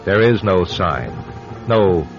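An actor playing the solemn narrator of an eerie radio drama, grave and deliberate. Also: male voice, sits low, animated, music in the background. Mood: grave, ominous. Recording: muffled radio broadcast recording.

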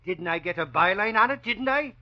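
Irish newsman, high-pitched, swooping delivery, boastful, folksy, old radio drama, dull vintage recording. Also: lively intonation, a little fast. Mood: boastful, blustery. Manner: blustery, folksy. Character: excitable, boastful male newspaper reporter. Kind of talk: 1940s radio acting.

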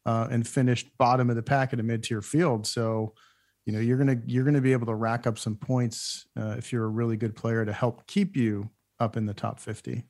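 A man hosting a golf panel, asking questions and thinking aloud in a relaxed way. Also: medium pitch, a little fast. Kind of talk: casual panel discussion.

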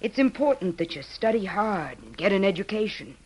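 A woman's voice: mid-range voice, sing-song, a little fast, slight background noise, close to an everyday voice, rough recording. An actress playing a resolute, motherly middle-aged widow in an old-time radio western, earnest and firm. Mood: firm, caring. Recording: vintage radio drama recording.

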